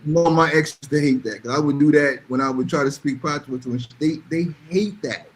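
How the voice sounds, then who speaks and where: A man's voice: fairly high pitch, fairly quick, breathy edge. A man talking casually and bluntly, giving emphatic opinions and repeating phrases to drive points home. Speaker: podcast panelist.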